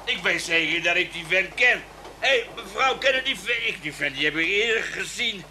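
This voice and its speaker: An actor playing a rowdy, pushy drunk in a radio play, slurring, stammering and repeating himself. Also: male voice, high voice, expressive, normal speed, sharp tone, a little hiss or hum, exaggerated voice. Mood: insistent. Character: rowdy drunken man pestering train passengers. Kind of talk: Dutch radio play acting.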